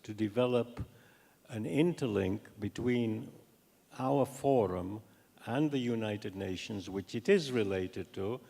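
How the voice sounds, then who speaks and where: An older man speaks slowly and deliberately, with a gravelly creak in his lowish voice. He halts as he builds his academic points, and a long hall reverb trails behind him. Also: warm tone. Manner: measured, halting, deliberate. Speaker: professor giving a talk and taking questions. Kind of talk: academic lecture and answers to audience questions.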